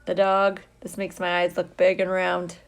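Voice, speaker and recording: A woman's voice: on the low side, completely flat delivery, normal speed. A middle-aged woman, a teacher, chatting casually and playfully with young viewers on a livestream, drawing out her greetings. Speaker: teacher hosting a livestream for students.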